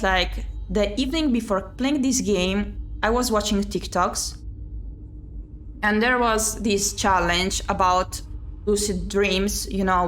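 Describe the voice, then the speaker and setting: A woman's voice: medium pitch, a bit bright. A woman playing a visual novel on her channel, reading the text aloud and adding chatty commentary. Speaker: video game streamer.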